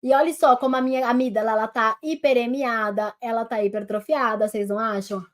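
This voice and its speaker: A Brazilian woman doctor gives an online lesson, her voice pitched high and a little nasal from a head cold. She talks straight to her viewers in a lively, hands-on manner while demonstrating on herself. The sound comes through a simple webcam. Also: fairly quick. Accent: Brazilian Portuguese. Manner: lively, addresses viewers directly as a group. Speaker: doctor teaching telemedicine.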